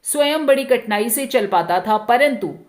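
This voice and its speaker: Female storyteller, fairly high pitch, scripted narration, expressive reading. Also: moderate pace.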